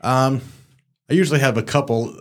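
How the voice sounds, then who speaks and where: A man in his forties, an associate pastor, speaking in a relaxed, easygoing way. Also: mid-range voice, normal speed. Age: 40s. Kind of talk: casual podcast chat.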